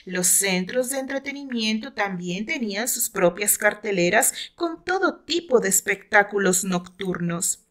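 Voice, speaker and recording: A woman with a Mexican accent reads a dramatic novel aloud in a measured, expressive storytelling manner. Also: medium pitch. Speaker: author narrating her own novel. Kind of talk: dramatic audiobook narration.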